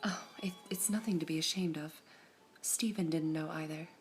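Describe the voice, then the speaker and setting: A woman voicing a serene all-seeing female gem in an ASMR roleplay, whispering softly in a measured, formal way. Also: sits low, soft background music, close to an everyday voice. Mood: calm whispering.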